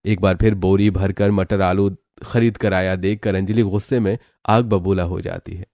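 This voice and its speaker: A man's voice: deep voice, steady tone, normal speed, darkish tone, no room sound. A man narrating a scripted family cartoon story. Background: background music.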